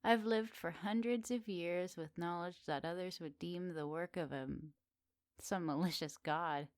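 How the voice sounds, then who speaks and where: Woman playing a slow, teasing flirty witch. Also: lowish voice, no echo, clean studio sound, close to an everyday voice. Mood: wistful, teasing. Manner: teasing, coy, expressive with soft pauses. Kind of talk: ASMR roleplay, addressed intimately to the listener.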